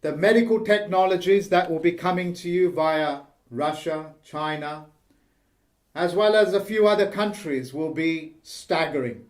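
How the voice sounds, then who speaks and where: A man with a somewhat high voice talks at a slow, deliberate pace. He hosts the program and delivers his points emphatically, leaning hard on each phrase. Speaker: host. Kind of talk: emphatic monologue.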